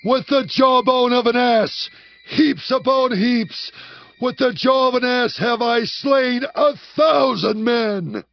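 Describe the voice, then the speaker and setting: An actor playing a mighty, hot-tempered biblical warrior, declaiming intensely in archaic phrasing with a husky, gravelly voice. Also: male voice, extremely high pitch, slow, dull audio, faint music behind, exaggerated voice. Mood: triumphant, shouting. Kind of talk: dramatized audio Bible acting.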